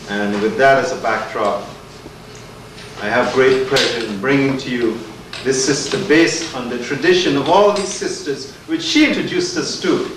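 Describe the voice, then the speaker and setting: A man introduces a speaker with earnest, rhetorical emphasis. His voice is picked up from across a large, very echoey hall with a steady hiss of noise behind. He sounds set back from the microphone. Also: mid-range voice. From Guyana. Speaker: event host. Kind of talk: community lecture introduction. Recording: echoey hall, distant mic, noisy.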